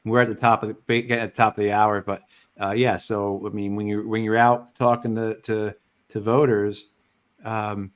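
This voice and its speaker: A man's voice: fairly low pitch, level tone, normal speed. A man co-hosting, asking questions in a casual interview style. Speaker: co-host.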